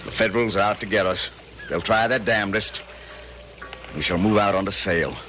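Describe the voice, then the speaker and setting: A man's voice: fairly low pitch, moderate pace, close to an everyday voice. An actor playing a fatherly, authoritative Confederate colonel with formal speech in a radio drama. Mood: commanding. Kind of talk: 1970s radio drama acting. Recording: noisy vintage radio broadcast.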